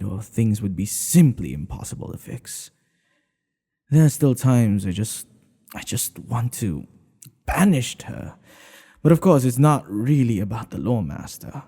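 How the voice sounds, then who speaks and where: A man playing a smitten older king in an ASMR boyfriend roleplay, regal and teasing, with courtly phrasing and a breathy voice that often drops toward a whisper. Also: on the low side, mellow.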